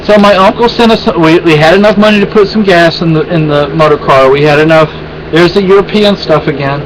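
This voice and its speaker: A man's voice: fairly high pitch, a little fast, slight background noise, low-quality recording. A man venting in a rambling, exasperated way in a casual home vlog, with many restarts. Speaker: vlogger.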